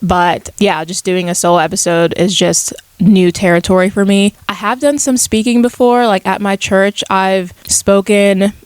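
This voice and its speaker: A woman's voice: medium pitch, steady tone, normal speed, hardly any pauses, smooth, dry recording. A woman in her mid-twenties hosting a podcast solo, talking in a casual, chatty, reflective monologue. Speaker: podcast host.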